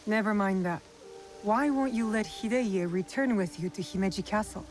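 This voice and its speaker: A woman's voice: mid-range voice, moderate pace, darkish tone, lightly acted. A woman playing a young, defiant and determined warrior of feudal Japan in a video game, blunt and challenging. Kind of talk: cinematic video game acting. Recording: faint music behind the voice. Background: faint background music.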